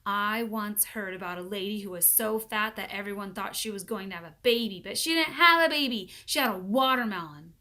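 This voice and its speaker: A woman voicing an excitable, boastful schoolboy from a storybook, emphatic and exclaiming. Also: mid-range voice, a little fast, hardly any pauses, lightly acted. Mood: excited, boastful. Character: excitable, boastful schoolboy eager to tell stories.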